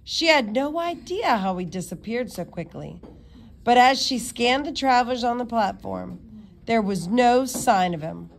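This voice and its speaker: Female read-aloud narrator, slightly high voice, expressive children's storytelling with occasional stumbles. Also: unhurried, vocal fry, slight background noise. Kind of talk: reading a children's novel aloud.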